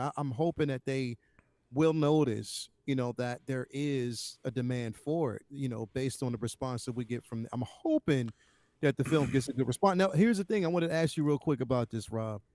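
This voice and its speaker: A man's voice: mid-range voice, a little mellow, no room sound. A man co-hosting a talk show, discussing things casually as a fan and putting questions to the host.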